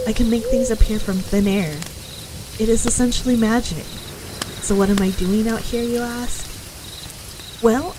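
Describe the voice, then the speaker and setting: A woman's voice: medium pitch, background noise, natural voice. A woman playing a friendly, confident witch who chats reassuringly to the listener in an ASMR roleplay. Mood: proud, chatty. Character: friendly, confident female forest witch, eager mentor.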